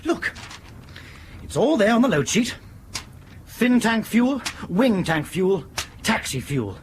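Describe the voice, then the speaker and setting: An actor with a British accent playing an indignant, stubborn airline captain in a radio drama, blunt and exasperated in manner. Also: male voice, high-pitched, big pitch swings, takes it slowly, slight background noise, exaggerated voice.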